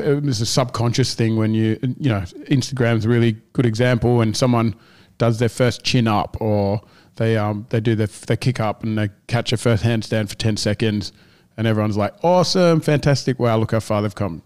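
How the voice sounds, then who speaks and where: A man's voice: fairly low pitch, a little mellow, smooth. A man co-hosting a casual gym podcast, rambling and often restarting as he searches for words. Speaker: gym owner and podcast co-host.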